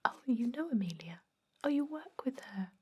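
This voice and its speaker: A woman playing a chatty but gently stern vampire dentist in a whispered ASMR roleplay, rambling in a confiding way with a breathy voice. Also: a little high, lively intonation, normal speed. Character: chatty long-lived female vampire dentist, garlic-obsessed, gently stern. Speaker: ASMR performer.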